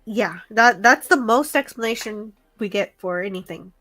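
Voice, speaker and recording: A woman co-hosts a review show in a casual, conversational way. Her voice sits at a middle pitch as she recaps and discusses. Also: normal speed, pushed and bright, no room sound. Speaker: co-host of an online review stream.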